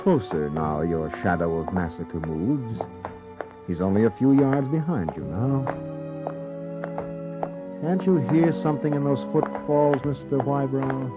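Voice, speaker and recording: A man's voice: mid-range voice, expressive, a little slow. An actor playing a sinister, taunting narrator of a 1940s noir radio drama, theatrically addressing a doomed man. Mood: ominous, taunting. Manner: theatrical, ominous, insistent second-person address. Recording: muffled, tinny 1940s radio recording, music behind.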